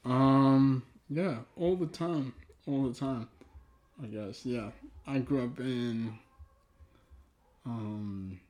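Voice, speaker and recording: Slow-talking, mellow man from Ghana, Twi speaker. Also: mid-range voice. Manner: hesitant, thoughtful, trailing pauses. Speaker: podcast host. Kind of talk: casual reflective conversation.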